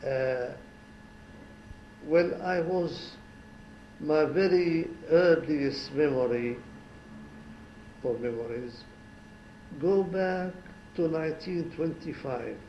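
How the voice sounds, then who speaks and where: Older man in his 70s from Syria, retired diplomat, slow and reflective, many restarts, echoey room. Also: fairly high pitch, highs cut off, a little hiss or hum. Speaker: former ambassador, interviewee. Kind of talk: oral history interview. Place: home.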